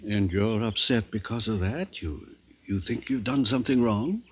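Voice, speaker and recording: An actor playing a friendly, concerned clergyman in a 1950s radio drama, conversational and gently questioning. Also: lowish voice, moderate pace, breathy edge. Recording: noisy, hissy 1950s radio broadcast.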